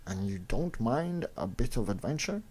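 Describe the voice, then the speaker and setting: A man playing a bold, courteous gentleman adventurer, urbane and determined, in a comic-book drama. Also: mid-range voice, very animated, moderate pace. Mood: confident urgency. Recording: clearly noisy recording.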